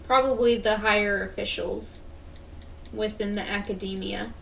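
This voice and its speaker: Mid-pitched woman streamer, a bit distant. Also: fairly even intonation, moderate pace, vocal fry, fairly bright tone, slight background noise. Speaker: game livestreamer. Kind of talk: casual gameplay commentary. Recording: slightly distant mic, faint game music. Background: faint game music.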